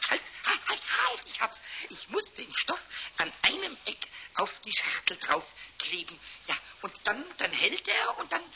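A man playing a cheeky, childlike little kobold in a children's radio play, with a squawky voice and excitable, repetitive stammering chatter. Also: a little slow, vocal fry, few pauses, full character voice. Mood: gleeful, scheming. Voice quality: high, squawky cartoonish voice. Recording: hissy, clicky old radio play recording.

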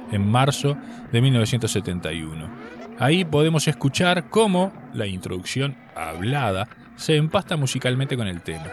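A Uruguayan man hosts a scripted radio segment in a measured, explanatory manner. His voice sits at an average pitch for a man. There is some noise in the background. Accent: Uruguayan Spanish. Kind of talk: scripted radio narration on music history.

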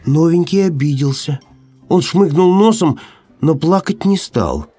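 A male audiobook narrator tells a children's story expressively. His voice is high and very lively in pitch, with music heard alongside the scripted reading. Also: unhurried, slightly rough.

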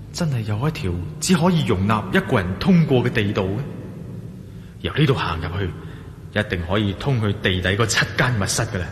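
A man's voice: lowish voice, natural voice. A man with a Hong Kong Cantonese accent playing a wary, curious adventurer in a radio drama, theatrically thinking aloud to himself. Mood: awed, curious. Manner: theatrical, exclaiming and musing to himself. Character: wary, curious male adventurer exploring a dark ancient temple. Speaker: radio drama lead actor. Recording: echoey long reverb, clearly noisy.

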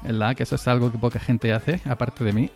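A man's voice: medium pitch, fairly even intonation, fairly quick, a little mellow. A man in his forties from southern Spain, hosting a casual live stream and chatting to listeners in a rambling way, often repeating words and chuckling. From Granada, Andalusia, Spain. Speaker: podcast host on a live stream. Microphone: desk mic through an audio interface. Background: faint background music.

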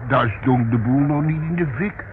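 An actor playing a grumpy old male tramp in a radio play, halting and repeating himself as he grumbles. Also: mid-range voice, natural voice. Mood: rambling. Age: older. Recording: muffled narrowband old radio recording, noisy.

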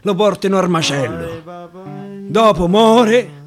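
A man's voice: high-pitched, big pitch swings, slow pace. A man with a Roman accent gives a theatrical recitation of dialect verse, dramatically repeating phrases for emphasis. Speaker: stage actor and storyteller. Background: acoustic guitar.

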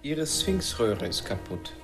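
An actor in a radio drama playing a blunt, practical settler leader who talks tersely and questions everything. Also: male voice, mid-range voice, very animated, slow, loud music behind the voice. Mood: matter-of-fact. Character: Lionel, blunt, practical settler leader, grumbly but fair. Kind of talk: naturalistic German radio drama acting.

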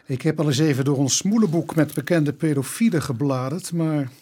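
A man playing a methodical police inspector in a radio drama, speaking in a measured, deliberate way. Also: a little high, dark tone, breathy edge. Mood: pondering.

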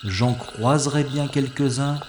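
A man's voice: medium pitch, fairly even intonation, measured pace, echoey room, noisy background, natural voice. A man voicing a wry, self-mocking secret agent who narrates his own mission in an audio drama. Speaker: first-person narrator-hero. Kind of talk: audio drama inner monologue.

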